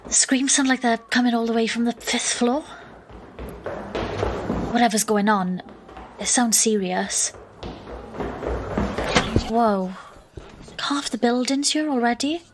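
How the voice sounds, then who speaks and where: A woman's voice: a little high, slow, long pauses, very noisy. An actress playing an exasperated, wry young woman who narrates an audio drama murder mystery. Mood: tense narration. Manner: wry, sardonic.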